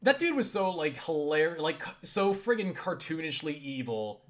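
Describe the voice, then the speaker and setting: A man chatting casually and haltingly about games, swearing freely in an animated way. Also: fairly high pitch. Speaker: video creator answering viewer questions. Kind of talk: casual rambling game chat.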